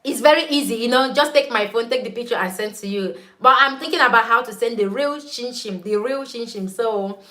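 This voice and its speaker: A woman's voice: on the high side, fairly quick, few pauses, pushed and bright. A young woman with a Nigerian accent, whose first language is Igbo, chatting casually on a livestream, laughing often and greeting viewers by name. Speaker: YouTube host. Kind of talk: casual livestream chat reading viewer comments.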